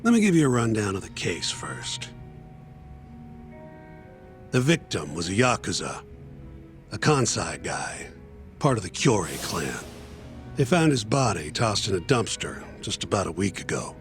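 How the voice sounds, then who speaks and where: A man acting as a brisk, pragmatic, unsentimental defense lawyer in a video game, speaking bluntly and colloquially. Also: fairly low pitch, sing-song, soft background music. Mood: matter-of-fact. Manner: blunt, colloquial, matter-of-fact. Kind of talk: English game dub dialogue.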